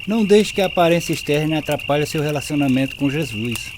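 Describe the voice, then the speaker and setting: A man acts out a firm, earnest evangelical preacher in a radio drama. His voice is fairly high, solemn and exhorting, over clearly noisy outdoor ambience. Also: expressive, fairly quick, close to an everyday voice. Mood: earnest exhortation. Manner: solemn, exhorting, scripture-quoting.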